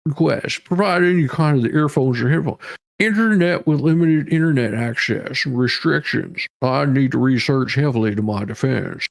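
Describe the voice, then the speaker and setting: A man giving a mock-dramatic reading as a demanding woman inmate pleading her own case, with halting, emphatic delivery. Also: mid-range voice, gravelly, natural voice. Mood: insistent.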